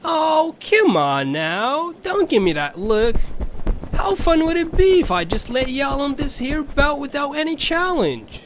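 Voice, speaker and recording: A very high-voiced riverboat captain in an audio story, folksy and sly. His flowery drawl swoops theatrically up and down, with slight hiss behind it. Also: sing-song, unhurried, fairly bright tone, heavily acted. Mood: teasing.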